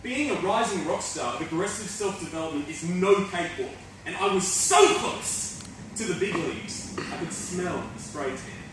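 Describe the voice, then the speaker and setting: A man's voice: high voice, animated, normal speed, background noise. A man playing a fallen self-help guru in a theatrical monologue, intense, volatile, sardonic and profane, with a confessional bitterness. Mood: confiding, sardonic. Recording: echoey room, distant mic, music behind.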